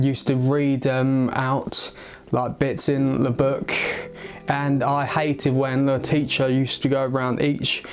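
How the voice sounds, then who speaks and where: Stammering young man, very slow. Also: mid-range voice. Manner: stammering, halting with many pauses and restarts. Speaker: vlogger. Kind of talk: personal story to camera. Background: guitar music.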